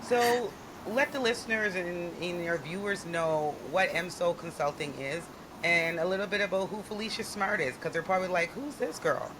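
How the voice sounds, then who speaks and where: A woman's voice: fairly low pitch, normal speed. Woman podcast host, bubbly, effusive, playful, air conditioning hum behind. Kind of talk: casual interview chat.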